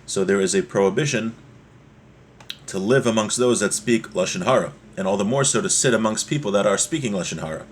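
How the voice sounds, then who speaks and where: A man giving a religious lesson as a teacher, explaining a text point by point and repeating key ideas, in a husky voice. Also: fairly low pitch, a little fast, slight background noise. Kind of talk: Torah lesson, reading Hebrew text and explaining it.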